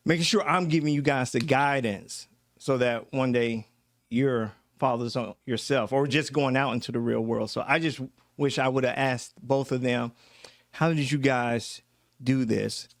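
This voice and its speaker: Man, 30s, podcast host, easygoing and reflective, mid-pitched, dry close mic. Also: no room sound. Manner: relaxed, reflective, talks with fillers and laughs. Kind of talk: casual family podcast chat. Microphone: studio microphone.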